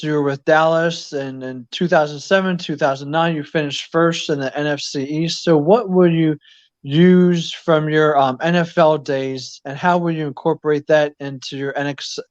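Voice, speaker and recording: A man asking a sports interview question as a radio co-host, in a halting, deliberate way. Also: on the high side, steady tone, muffled recording.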